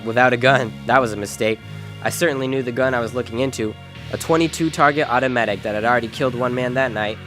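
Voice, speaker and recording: A man acts out a hard-boiled private detective with a medium-pitched voice. He is terse, wry and wisecracking, telling his story and trading lines in radio noir style. Faint music sits behind him. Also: fast, fairly bright tone, lightly acted. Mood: grim narrating. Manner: wry, terse, tough-talking. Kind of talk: noir radio drama acting and first-person narration.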